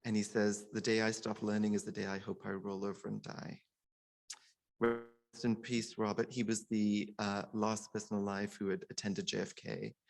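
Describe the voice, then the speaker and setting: A man's voice: fairly low pitch, level tone, normal speed, gravelly, rough recording. A middle-aged man, a surgeon, giving a personal, informal conference speech in an earnest manner, sometimes stammering and repeating words.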